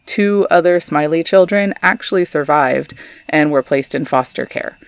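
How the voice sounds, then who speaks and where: A woman's voice: low-pitched, moderate pace, clean tone, no echo. A young adult woman from Texas, hosting a scripted storytelling podcast with chatty, wry asides. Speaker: podcast host.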